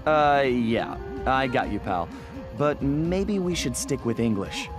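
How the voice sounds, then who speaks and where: Easygoing raccoon thief hero, wry, cocky, medium pitch, lively intonation, video game cartoon narration, music bed. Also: male voice, slow. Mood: teasing. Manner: wry, smooth storytelling. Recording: clear music bed behind.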